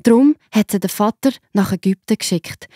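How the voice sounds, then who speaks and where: A woman with a Swiss German accent narrating a story for children in a simple, expressive way. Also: medium pitch, normal speed, slightly rough, no room sound, studio quality.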